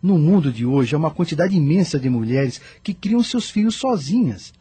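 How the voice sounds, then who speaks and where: Husky male doctor played by an actor, mellow dark tone, earnest moralizing, deliberate, dry radio drama sound. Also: on the high side, big pitch swings, no echo, heavily acted. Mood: earnest, admonishing.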